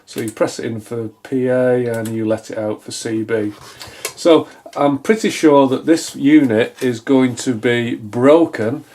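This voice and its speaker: Casting: middle-aged British male, soft mellow tone, medium pitch, dry self-deprecating hobbyist thinking aloud at the workbench. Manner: musing aloud, self-deprecating, dryly humorous. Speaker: electronics repair hobbyist, presenter. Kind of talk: casual, unscripted hands-on repair commentary. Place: small indoor home electronics workshop, at a bench.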